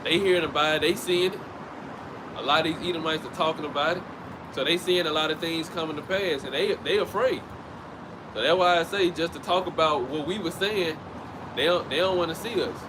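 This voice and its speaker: A man's voice: fairly high pitch, brisk. A man teaching outdoors by a street in a loose, conversational way, often restarting his phrases. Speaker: street preacher. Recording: outdoor traffic noise. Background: passing vehicles.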